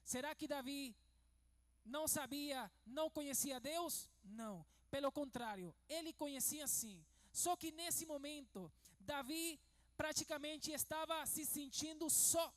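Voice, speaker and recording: Slow-preaching man. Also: very high voice, a bit bright. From Venezuela. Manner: measured, turning emphatic and preacherly. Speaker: pastor. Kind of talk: sermon.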